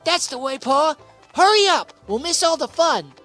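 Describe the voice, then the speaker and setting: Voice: sits high, big pitch swings, moderate pace, a bit bright, faint music behind. A performer playing an eager, impatient little farm boy in a radio drama, whining and pleading. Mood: impatient, excited.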